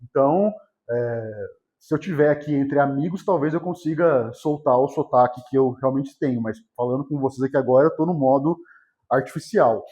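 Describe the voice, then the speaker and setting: A man's voice: mid-range voice, moderate pace, warm tone. A man with a Brazilian accent from São Paulo state, giving an informal exam-prep history lecture in a colloquial way, often checking in with short tag questions. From São José dos Campos, Vale do Paraíba, Brazil. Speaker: history teacher.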